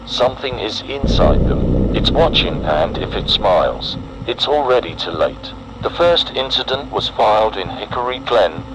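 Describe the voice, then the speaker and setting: Male narrator, low register, solemn and deliberate horror PSA read over clearly audible noise. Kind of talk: scripted analog horror emergency-broadcast narration.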